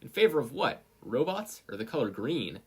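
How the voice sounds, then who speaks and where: Casting: man as teasing sports spectator in an audio story, curious, quizzing, raised pitch, slightly husky. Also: sing-song, moderate pace.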